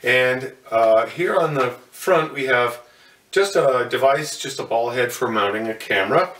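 A man's voice: medium pitch, unhurried, fairly bright tone. An older man giving a hands-on demonstration of telescope mounts, folksy and full of fillers and self-corrections. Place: indoor room in front of a curtain. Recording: roomy, slightly distant sound.

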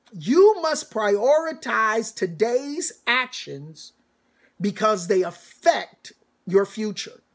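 High-voiced, slow-paced male preacher. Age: middle-aged. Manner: emphatic, deliberate, rhetorical repetition with dramatic pauses. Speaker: church elder, commencement speaker. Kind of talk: sermon-like commencement address. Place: small home study, indoors.

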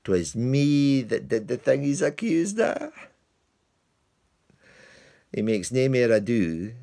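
Comic lowly servant with a broad Scottish brogue, deep and drawn out, as a male actor plays him. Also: creaky, darkish tone, exaggerated voice. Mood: rowdy. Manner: broad dialect storytelling, mock indignant, laughing. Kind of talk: theatrical monologue.

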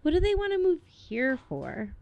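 An actress playing a bright, inquisitive junior assistant in a small-town radio play. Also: female voice, sits high, huge pitch swings, moderate pace, creaky, dry recording, lo-fi sound, full character voice. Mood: bright curiosity. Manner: perky, inquisitive.

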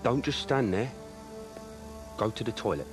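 A man's voice: mid-range voice, expressive, measured pace, close to an everyday voice. An actor playing a cocky, blunt young British man in casual, colloquial banter in a video game. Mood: blunt, teasing. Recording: music under dialogue.

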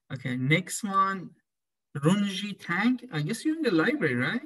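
A man teaching a class over a video call, calling names slowly and asking students follow-up questions. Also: high-pitched, very animated, a bit bright, slightly rough. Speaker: professor.

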